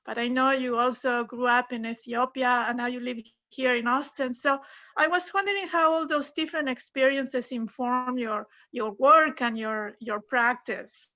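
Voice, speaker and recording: High, bright-voiced woman curator. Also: steady tone, normal speed, highs cut off. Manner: polite, courteous, curious questioning. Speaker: museum curator and panelist. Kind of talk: panel conversation, asking questions.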